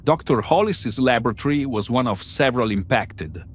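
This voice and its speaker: A man's voice: mid-range voice, expressive, normal speed, slight background noise, natural voice. A man playing an analytical starship crew member in an amateur sci-fi drama, explaining things precisely and methodically. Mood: explanatory.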